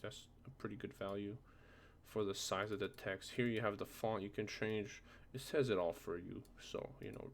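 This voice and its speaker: A man presenting a software tutorial informally, often checking that the listener is following along. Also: on the low side, creaky, slight background noise. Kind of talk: casual screen-recorded software tutorial.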